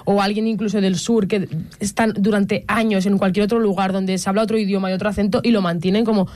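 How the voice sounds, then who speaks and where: A woman's voice: medium pitch, level tone, fast talker, darkish tone. Eager, questioning woman podcast host. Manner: enthusiastic, asks lots of questions. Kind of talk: casual chat.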